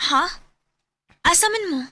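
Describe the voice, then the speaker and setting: High, coy married Cebuano woman. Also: expressive, measured pace, background noise. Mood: shy, hesitant. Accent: Cebuano Filipino. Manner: hesitant, coy. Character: married woman, Vivian, shy, coy, in secret affair. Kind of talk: radio drama acting in Bisaya.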